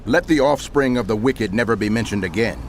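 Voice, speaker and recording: An actor playing a prophet narrator, solemn and declamatory, in dramatic scripture narration. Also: male voice, fairly low pitch, brisk, a little hiss or hum, close to an everyday voice. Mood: solemn declaiming. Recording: music under the voice.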